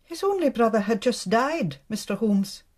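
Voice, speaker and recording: An actress with a British accent playing a stern, dismissive woman in charge at a clinic, husky, formal and curt, in a radio drama. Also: fairly high pitch, animated, normal speed, darkish tone.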